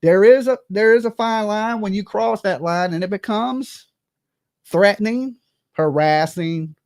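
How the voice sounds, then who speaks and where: A livestream host with a medium-pitched voice delivers casual, gossipy commentary. The talk is brash and salted with profanity, and points are often capped with a checking "okay?" or "right?". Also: a little slow, a little mellow.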